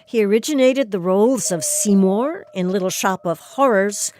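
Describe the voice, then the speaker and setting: A woman's voice: mid-range voice, normal speed, darkish tone, a little hiss or hum. A woman reading a scripted introduction as the host of an audio play series. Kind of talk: scripted narration.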